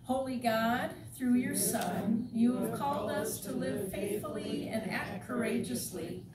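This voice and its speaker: A woman's voice: fairly high pitch, measured pace, a loud crowd. A woman leading a church service in a folksy, informal way, as a pastor speaking to a congregation. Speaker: worship leader, pastor. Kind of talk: church worship service, informal welcome, prayer and scripture reading. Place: church sanctuary during a service. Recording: noisy, echoey church, distant microphone.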